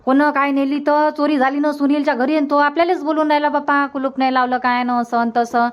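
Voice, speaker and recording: Cartoon mother, female performer, middle-aged, disbelieving grumbler, high voice, Varhadi Marathi accent. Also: little pitch movement, fast, highs cut off, natural voice. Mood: concerned. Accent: Varhadi Marathi from Vidarbha. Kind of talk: cartoon comedy dubbing.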